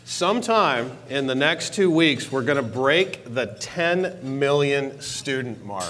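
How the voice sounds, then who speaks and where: A man's voice: expressive, slow, a bit bright. Male executive, forties, enthusiastic keynote delivery on a lapel mic in a large hall, light room noise. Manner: upbeat, enthusiastic pitch with stressed repeated words. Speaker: keynote speaker, technology executive. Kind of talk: conference keynote speech. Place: large conference hall stage.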